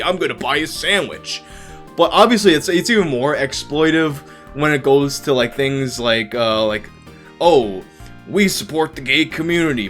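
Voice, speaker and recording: A man's voice: mid-range voice, fairly bright tone. A young man answering viewer questions on a livestream, talking in a candid, rambling, profane way. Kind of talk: casual livestream Q&A.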